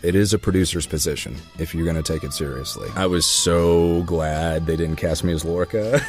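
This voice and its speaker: A man's voice: deep voice, sing-song, unhurried, faint music behind. A man speaking as an actor guest, reflecting conversationally in an interview.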